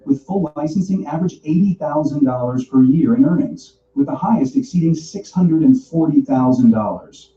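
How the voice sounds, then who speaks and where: A man reading a scripted corporate promotional narration with a smooth, polished delivery. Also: mid-range voice, mellow. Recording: dull, distant video playback in an echoey room. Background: background music.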